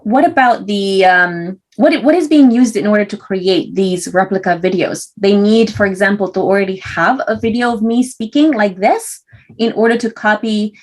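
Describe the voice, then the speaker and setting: A woman's voice: medium pitch, normal speed. A young woman from Romania with a Romanian accent, hosting a casual interview and asking chatty questions full of fillers. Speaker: podcast host.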